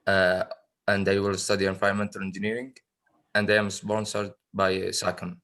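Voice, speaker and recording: A man from Saudi Arabia with a very deep voice introduces himself briskly. His tone is flat and even, with a bright edge. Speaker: incoming student.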